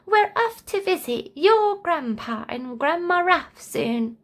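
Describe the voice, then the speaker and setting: A woman's voice: extremely high pitch, big pitch swings, slow pace, voice pushed up, full character voice. A woman voicing an elderly female giraffe in a storybook, an affectionate and independent grandmother.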